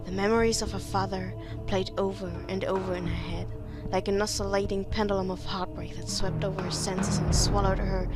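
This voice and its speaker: Woman, non-native English accent, melodramatic amateur prose reading, solemn and theatrical, medium pitch, clear music behind. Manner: solemn, theatrical, with occasional stumbles. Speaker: amateur reader.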